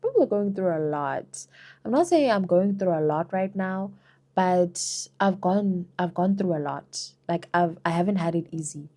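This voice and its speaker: A woman's voice: fairly low pitch, animated, moderate pace, warm tone. A young South African woman answering viewer questions in a casual, chatty vlog, often saying "girl" and "you know". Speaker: vlogger.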